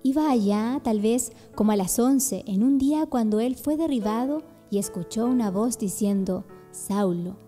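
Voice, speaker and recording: A woman narrates reflectively, like someone delivering a sermon. Her voice is very dark and mellow, a little high, over faint music. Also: normal speed, hardly any pauses.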